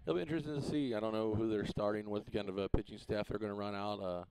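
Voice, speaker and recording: A man coaching high school baseball, giving a relaxed, matter-of-fact pregame interview in a ballfield press box, with lots of "uh" and "you know". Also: fast, vocal fry, smooth. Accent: Missouri.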